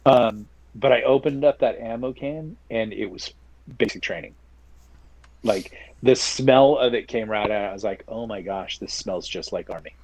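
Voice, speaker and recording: A man's voice: lowish voice, normal speed, a little mellow, low-quality recording. A man co-hosting a gaming podcast, telling rambling stories in a casual, joking way. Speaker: podcast co-host. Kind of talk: casual chat.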